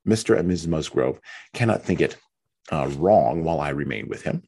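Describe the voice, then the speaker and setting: Man doing a calm sensible young woman, soft and reassuring, slightly raised, husky low voice. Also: a little fast, exaggerated voice. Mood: soothing. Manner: gentle and reassuring.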